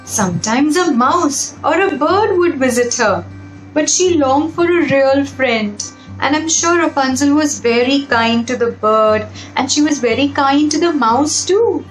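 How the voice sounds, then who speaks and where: A woman with a high voice narrates a children's picture book at a slow pace. She pauses for simple, repetitive explanations and puts questions to her young listeners. The sound is roomy and echoing, with music in the background. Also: expressive. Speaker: storybook reader and narrator for children. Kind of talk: read-aloud children's story with explanatory asides.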